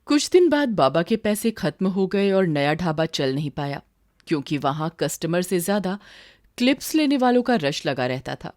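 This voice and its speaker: A woman's voice: lowish voice, very animated, moderate pace, darkish tone. A woman reading a scripted story as its narrator.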